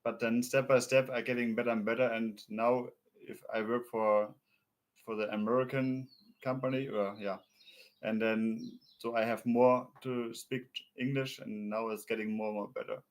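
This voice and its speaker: A German-speaking man with a German accent, answering in halting, broken English. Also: mid-range voice, little pitch movement. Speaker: guest.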